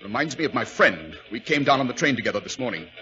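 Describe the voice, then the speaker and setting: An actor playing a courteous, brooding English gentleman detective with an upper-class accent, crisp and pensive, in a 1940s radio drama. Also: mid-range voice, big pitch swings, fast talker, natural voice. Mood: brooding, uneasy. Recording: muffled vintage radio broadcast with music.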